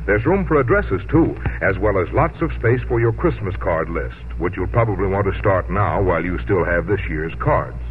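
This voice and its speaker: A man's voice: sits low, lively intonation, moderate pace, hardly any pauses, faint music behind. An American man announcing on 1950s radio, reading a sponsor message in a folksy, friendly sales style. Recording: tinny, lightly noisy vintage radio broadcast.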